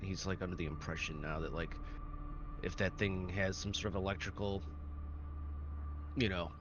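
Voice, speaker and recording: Man, low pitch, tabletop player, casual, hesitant, word-searching, muffled lo-fi recording. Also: little pitch movement, moderate pace, noisy background. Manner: hesitant, groping for words. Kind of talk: casual in-game chat.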